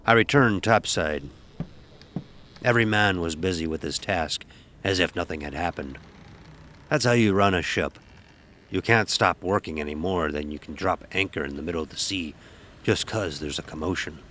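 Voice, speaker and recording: A man playing a stern, gruff ship's officer who tells a sea tale in commanding, archaic nautical speech for an audio horror drama. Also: low voice, normal speed, fairly bright tone, slight background noise. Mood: grave, musing. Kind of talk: first-person dramatic storytelling, audio horror.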